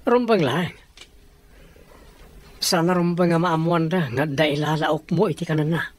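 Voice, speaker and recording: A woman's voice: low voice, unhurried. A woman acting in a radio drama as a sly, greedy accomplice who eggs on a plot.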